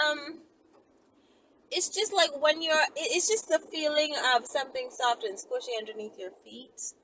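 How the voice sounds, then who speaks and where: Higher-voiced woman vlogging over car noise. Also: a bit bright. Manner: informal, digressive, thinking aloud. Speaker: vlogger addressing viewers. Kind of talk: casual rambling chat to viewers. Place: inside a car on the road. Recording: noisy car interior, clear vehicle noise.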